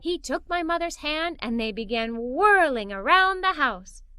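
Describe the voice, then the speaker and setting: A woman reading a children's story aloud in an animated, playful, emphatic way, as a young girl telling it. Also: high voice, measured pace, over loud music. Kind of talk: expressive read-aloud storytelling in a young girl's first person.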